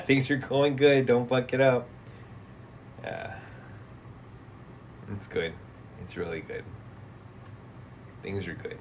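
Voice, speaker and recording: A man's voice: medium pitch, lively intonation, takes it slowly, pauses often, a little hiss or hum. A man in his late thirties chatting casually on a livestream, reading chat messages and replying in a laid-back, profane way that often trails off into mumbles. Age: 30s. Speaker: streamer.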